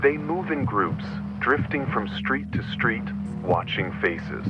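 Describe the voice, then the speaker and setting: A man's voice: medium pitch, expressive, slow. A man playing the urgent, commanding voice of an analog horror warning, speaking in short clipped sentences. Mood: grim warning. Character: urgent male voice of an emergency warning, grim, commanding. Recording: muffled radio-like lo-fi, music underneath.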